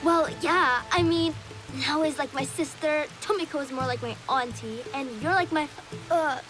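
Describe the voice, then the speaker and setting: A woman's voice: high-pitched, moderate pace, music in the background, lightly acted, low-quality recording. A woman voicing an earnest, respectful young boy who studies under a samurai in a video game. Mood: shy, affectionate. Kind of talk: video game cutscene acting.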